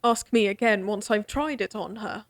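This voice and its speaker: A woman's voice: mid-range voice, normal speed, no room sound, close to an everyday voice. An actress playing a proud young lady-in-waiting and court musician in a fantasy audio drama, formal and a bit exasperated. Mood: candid. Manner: crisp, formal, slightly exasperated.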